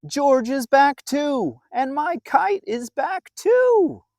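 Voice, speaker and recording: A storyteller putting on an excited young boy from a storybook. He is eager and generous, exclaiming in a raised pitch with a slow, clearly spaced delivery. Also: dry recording, clean studio sound, full character voice. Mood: elated.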